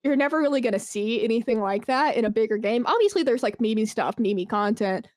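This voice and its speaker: A woman with a fairly high voice talks as a guest in a casual interview. She is enthusiastic and informal, swearing freely. The sound is very dry, with no room echo. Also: animated, fast talker, darkish tone. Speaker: podcast guest, esports brand manager.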